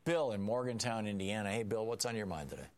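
A man hosting a political talk radio show, speaking emphatically and dropping low and quiet on serious points. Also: animated, fast.

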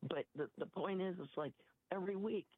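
Caller: woman, husky from a cold, halting speech, tinny phone line, dry. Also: fairly low pitch, no room sound. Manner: halting, many restarts. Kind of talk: call-in radio chat.

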